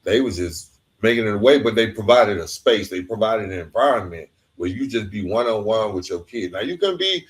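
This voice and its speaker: Low-voiced man hosting an informal interview. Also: low-quality recording. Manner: reflective, informal, checks in with "right?". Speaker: podcast host. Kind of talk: conversational interviewing with personal anecdotes.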